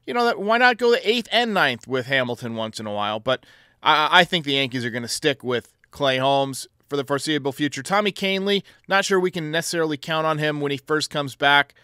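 A man's voice: fairly high pitch, animated, brisk, sharp tone, smooth, no echo, studio quality. A man hosting a sports call-in show, giving commentary in answer to callers. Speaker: host of a baseball voicemail show.

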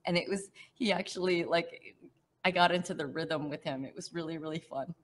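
Middle-aged actress, chatty and animated, slightly low voice, home video call. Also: female voice, a little fast. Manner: chatty, warm, animated, laughs often. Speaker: actress answering fan questions. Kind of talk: casual virtual panel Q&A. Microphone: headset on video call.

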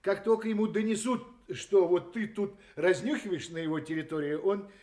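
An actor in a radio play voicing a gossipy, cynical, hard-drinking hotel detective who mutters, chuckles and rambles. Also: male voice, high voice, normal speed, a little mellow, close to an everyday voice. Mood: animated, indignant. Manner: rambling, muttering, chuckling, full of interjections and tag questions. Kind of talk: Soviet-style radio play acting.

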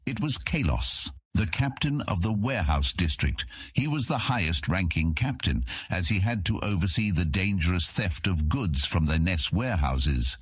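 A man reading scripted fantasy narration as an audiobook narrator, with a deep, husky voice. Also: animated, normal speed, few pauses, dry recording, clean studio sound.

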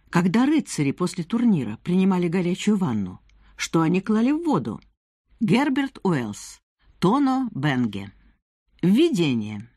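A woman narrating a nonfiction audiobook, reading from a script with measured, articulate phrasing. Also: lowish voice, mellow, husky, no room sound, clean studio sound.

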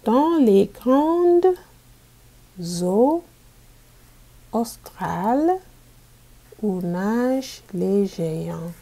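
A woman reading a picture book aloud to children as a teacher, in a deliberate manner made for learners. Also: a little high, animated, warm tone. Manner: deliberate, repeats sentences for learners.

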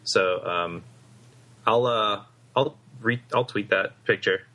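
Halting male podcast co-host. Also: fairly low pitch, bright, forceful tone. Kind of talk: casual chat.

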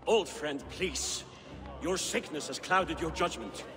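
A man's voice: on the high side, slow pace. An actor playing a loyal Viking warrior in a video game, speaking with earnest weight to his jarl. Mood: pleading. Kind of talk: dramatic video game cutscene acting.

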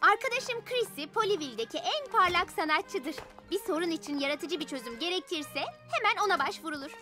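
A very high, lively voice for an upbeat, confident cartoon girl. She is enthusiastic and encouraging, swinging widely in pitch as she leads the way. Music plays clearly behind her. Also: fairly quick. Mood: bright. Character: upbeat, confident, helpful young girl leader. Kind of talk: animated children's cartoon dub.

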